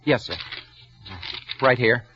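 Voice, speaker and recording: An actor playing a meek, touchy, hesitant bookkeeper in an old radio drama, recorded with muffled vintage sound. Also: male voice, mid-range voice, fairly even intonation, slow pace, pushed and bright. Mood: flustered, defensive. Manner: hesitant, stammering, defensive. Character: meek, jittery, touchy male bookkeeper, henpecked daydreamer. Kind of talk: 1940s radio drama acting with first-person narration.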